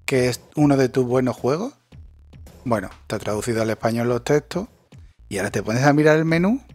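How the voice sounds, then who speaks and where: A man, ranting sarcastically and swearing in a casual chat as a podcast co-host. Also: mid-range voice. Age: 40s.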